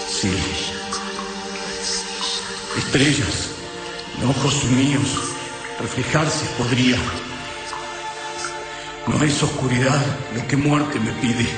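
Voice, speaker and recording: An actor in a radio drama playing a wise, sorrowful, dying alien slave who speaks in broken, inverted sentences. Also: male voice, mid-range voice, close to an everyday voice. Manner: slow, broken alien syntax with inverted word order. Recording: echoey hall reverb under loud music.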